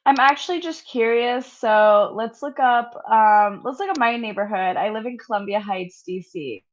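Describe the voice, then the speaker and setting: A woman co-hosting a real estate webinar, chatty and relaxed, her voice a little hoarse from a cold. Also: fairly high pitch, moderate pace, lo-fi sound. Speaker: marketing co-host. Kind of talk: casual webinar chat.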